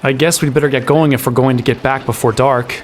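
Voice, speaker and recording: A man's voice: medium pitch, steady tone, quick pace, a little hiss or hum, natural voice. An actor playing a cocky, sarcastic young man in an audio drama. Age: young adult.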